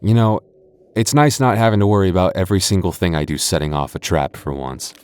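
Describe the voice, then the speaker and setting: A man's voice: low-pitched, fairly quick, a little mellow, clear, resonant tone. A man voicing a boyfriend in an ASMR roleplay, stammering through restarts and teasing playfully.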